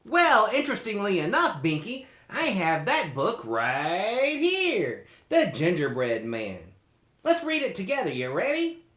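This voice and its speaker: Read-aloud host for kids, playful and theatrical, with hugely swooping pitch. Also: huge pitch swings, measured pace, a bit bright. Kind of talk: expressive storybook reading.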